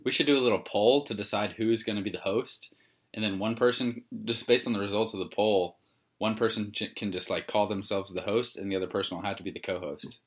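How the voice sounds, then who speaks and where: Young male podcast co-host, very deep voice, monotone and easygoing, loose chatty delivery. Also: fairly quick. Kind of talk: casual sports chat.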